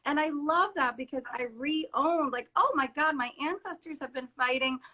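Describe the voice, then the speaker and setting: Guest therapist, woman from Trinidad, bright and fairly high voice, earnest, emphatic delivery, video call. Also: moderate pace. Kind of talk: casual conversation on a live stream.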